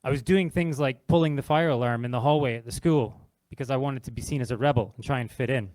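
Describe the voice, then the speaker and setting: A man's voice: medium pitch, fast, darkish tone, no echo, poor audio. Canadian man telling a personal story. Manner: nervous but candid, conversational, walking around while speaking. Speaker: speaker at an industry event. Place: event venue, in front of a live audience.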